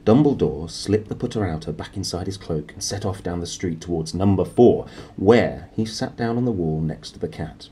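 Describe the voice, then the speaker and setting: A man reading a children's story aloud in an expressive narrating style, as a storyteller would. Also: low voice, moderate pace, few pauses. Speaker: storybook reader.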